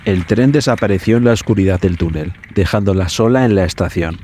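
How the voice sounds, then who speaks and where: A man hosting a podcast, narrating a scripted story in a measured, suspenseful manner. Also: low-pitched, warm tone, slight background noise.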